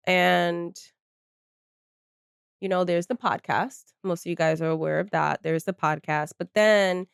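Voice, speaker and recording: A woman's voice: fairly low pitch, vocal fry, smooth, dry recording, studio quality. Candid thirty-year-old woman vlogging from her bedroom. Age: 30s. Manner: candid, chatty, swears casually. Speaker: channel host. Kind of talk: casual vlog talk to viewers. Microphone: desk microphone.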